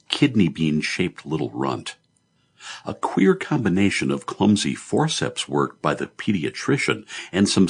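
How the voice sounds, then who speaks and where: A man with a very low, husky voice narrates literary fiction. His delivery is sardonic and expressive, swinging widely in pitch as he tells the story. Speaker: audiobook narrator.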